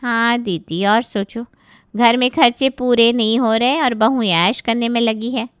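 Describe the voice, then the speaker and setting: A woman voicing a nosy, excitable middle-aged aunt who exclaims and relishes gossip in a story. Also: a little high, measured pace, clear, resonant tone, dry recording, clean studio sound, voice pushed up. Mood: excited gossiping.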